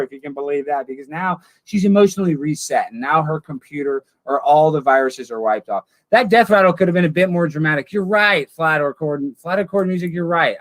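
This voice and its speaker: A man's voice: fairly high pitch, no room sound, rough recording. A man hosting a livestream, chatting with his viewers in a goofy, rambling way. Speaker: livestream host. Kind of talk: casual livestream chat.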